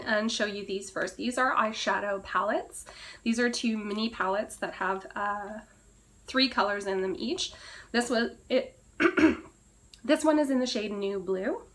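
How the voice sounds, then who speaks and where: A woman with a hoarse, cracking voice she says she is losing, chatting casually through a beauty product review and often clearing her throat. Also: fairly high pitch, a little slow, long pauses, a bit bright, slight background noise. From Canada. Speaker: beauty vlogger.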